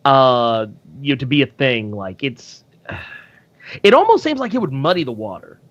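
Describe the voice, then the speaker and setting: A man's voice: mid-range voice, sing-song, moderate pace, fairly bright tone, no echo, dull audio. A man chatting casually as a podcast guest, hesitant and thinking aloud with frequent restarts. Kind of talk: casual live comic book discussion.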